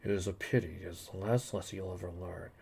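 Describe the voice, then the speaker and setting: A man voicing a cool, world-weary mercenary assassin with quiet, deadpan menace. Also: low-pitched, normal speed, slightly rough, voice pushed down.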